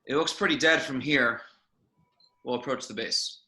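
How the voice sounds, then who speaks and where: A man playing a steady, commanding spaceship captain in an amateur table read, giving clipped orders. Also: medium pitch, moderate pace, reverberant. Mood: measured, watchful. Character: steady, commanding spaceship captain exploring a derelict alien ship.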